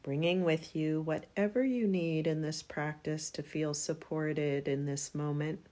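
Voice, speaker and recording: A woman leads a yoga nidra practice in a low, mellow voice. She speaks very slowly and soothingly. Long pauses separate her short phrases, as in a guided meditation.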